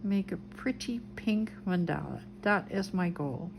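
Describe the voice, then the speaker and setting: A woman with a gentle Dutch accent narrates her crafting in an unhurried, low-key way. Her voice sits a little low and her pace is very slow. A clear background hiss runs under the recording. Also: animated. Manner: soft, unhurried, describes each step as she draws. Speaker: craft video host. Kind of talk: relaxed craft tutorial narration.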